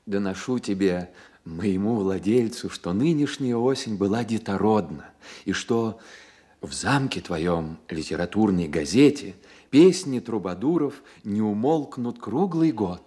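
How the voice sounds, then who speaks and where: An actor playing a playful, courtly young poet, speaking in measured mock-solemn phrases in a Soviet radio play. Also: male voice, on the low side, lively intonation, warm tone. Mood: playfully solemn. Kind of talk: Soviet radio play, letter read in character.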